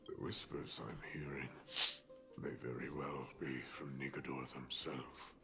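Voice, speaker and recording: A grim video game nobleman, with an extremely low voice full of gravel. He speaks solemnly, with disdain for lesser mortals, over a music bed. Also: steady tone, measured pace. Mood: brooding. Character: grim lordly man, stern and scornful of mortals.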